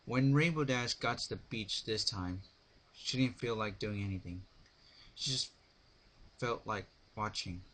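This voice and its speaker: A man narrating a story as an amateur dramatic reading, halting and sometimes stumbling over words. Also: fairly low pitch, a bit bright. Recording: noisy amateur home recording.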